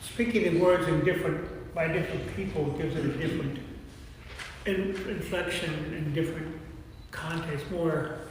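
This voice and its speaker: A man with a high voice reflects aloud to a group without a script. He is distant, in a long church reverb with faint noise. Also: normal speed. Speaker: lay cast member.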